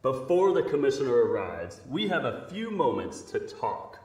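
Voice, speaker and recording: An actor playing a smug, scheming villain in 1930s radio drama style, theatrical and menacing. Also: male voice, medium pitch, very animated, normal speed, a little mellow, echoey room, recorded from a distance, close to an everyday voice. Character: Dark Shadow, smug scheming invisible villain, extorting the city.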